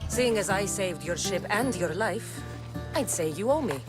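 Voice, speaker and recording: A woman voices a wry young female mercenary in a video game. She sounds assured and dry, with a lively range of intonation. Music plays behind her. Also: mid-range voice, measured pace, natural voice. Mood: wry, firm.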